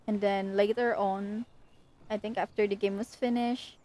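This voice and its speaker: A woman's voice: medium pitch, flat delivery, normal speed, dark tone. A young woman chatting casually as she comments on a game she is livestreaming. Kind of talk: casual chat, live gaming commentary.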